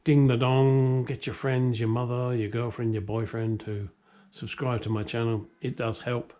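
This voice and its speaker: A man's voice: mid-range voice, level tone, moderate pace, dark tone. A middle-aged man with a British accent hosting a workshop vlog, talking casually and ramblingly in a jokey, self-deprecating, blunt way. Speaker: host of a classic car workshop vlog. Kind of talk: casual, rambling talk to camera. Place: home workshop.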